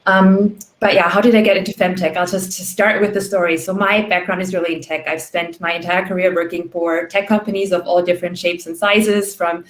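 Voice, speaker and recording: A woman's voice: on the low side, level tone, a little fast, few pauses. A woman in her thirties from Austria, a startup founder telling her story on a panel in a chatty way, full of "you know" and "like". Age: 30s. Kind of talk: panel talk, personal story. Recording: roomy echo.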